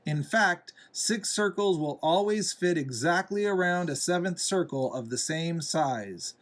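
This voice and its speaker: A man, a father, reading a picture book aloud to a young child in a deliberate, gently explaining, instructive way. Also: on the high side, lively intonation. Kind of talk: read-aloud storybook narration with asides to a young child.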